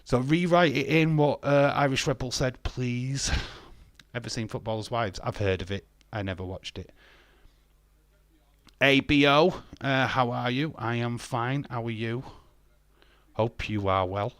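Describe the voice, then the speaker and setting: A man's voice: mid-range voice, measured pace, fairly bright tone, no echo. A man in his fifties hosting a live stream, reading chat comments aloud and answering them bluntly with sarcasm and frequent swearing. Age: 50s.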